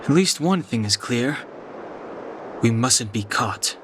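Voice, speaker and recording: An actor playing a calm, serious, reserved young fighter in a video game, composed and matter-of-fact. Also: male voice, mid-range voice, slow pace. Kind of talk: English game voice acting. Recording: clear background noise.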